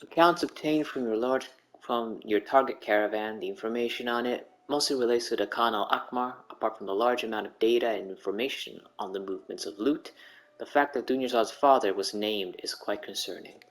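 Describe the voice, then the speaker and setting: A woman's voice: a bass voice, a little fast, poor audio. A woman streaming a game, commenting casually and reading lines aloud in a teasing way. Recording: game music faintly behind.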